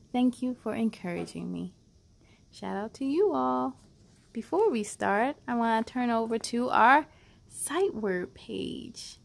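A woman in her thirties reading aloud to children as a storytime host, with an encouraging, animated manner and simple phrasing. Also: a little high, gravelly. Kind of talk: children's read-aloud, addressing young listeners.

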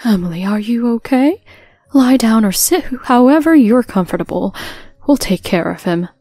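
A woman voicing an older mother-in-law who is gentle with her daughter-in-law and sharp with her son. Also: a little high, lively intonation, normal speed, no room sound, soft background music. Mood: caring.

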